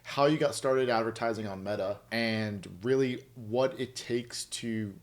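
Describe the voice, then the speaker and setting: A young man hosting a podcast in a studio, asking questions in a relaxed way with lots of fillers. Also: medium pitch, unhurried. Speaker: podcast host. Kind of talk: casual interview chat.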